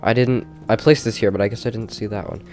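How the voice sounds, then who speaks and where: A young man with a slightly low voice talks into a desk mic, his nose audibly stuffed up. He rambles casually through a tour of his game world, leaning on fillers and restarts. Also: fairly even intonation, fast talker, a little mellow, soft background music. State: stuffy, congested. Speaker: gaming channel host. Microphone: desk mic with face cam.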